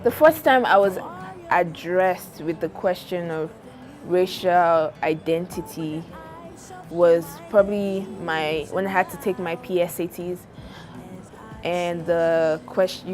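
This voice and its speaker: Low-voiced young woman. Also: steady tone, takes it slowly, a little mellow, soft background music. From Nigeria. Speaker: university student interviewee. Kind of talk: personal testimony to camera.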